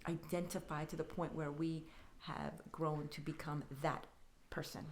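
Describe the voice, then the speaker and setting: A woman's voice: low voice, little pitch movement, a little slow, slight background noise. A woman guest on a podcast, talking earnestly and thoughtfully about faith and identity, building her points in lists. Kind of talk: reflective faith-based conversation.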